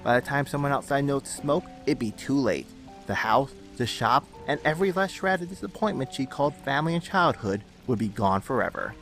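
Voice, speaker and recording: A man's voice: medium pitch, lively intonation, brisk, a bit bright. A man reading scripted dramatic story narration. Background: music under the narration.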